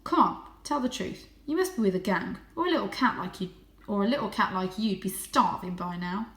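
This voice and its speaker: A woman acts out a tough female street cat from a children's story. She is scornful and blunt, quizzing and dismissing whoever she talks to. The room gives the voice a clear echo. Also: medium pitch, animated, fairly quick, a bit bright, lightly acted. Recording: roomy, echoing indoor sound.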